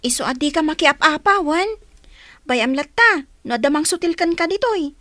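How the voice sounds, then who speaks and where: An actress with an Ilocano accent playing a young, peace-minded elder sister in a radio drama. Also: female voice, high-pitched, lively intonation, moderate pace, pushed and bright, no room sound. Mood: cautioning.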